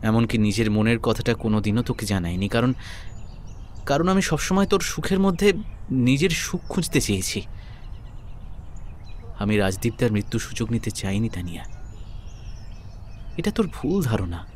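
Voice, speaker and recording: A man with a Kolkata Bengali accent playing an earnest, lovelorn young man in an audio story, speaking wistfully with dramatic pauses. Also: lowish voice, expressive, slight background noise, lightly acted. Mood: earnest, pleading. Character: lovelorn, self-sacrificing young man devoted to his friend. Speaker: narrator and lead actor in an audio story. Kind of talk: dramatic first-person audio story narration and dialogue. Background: background music.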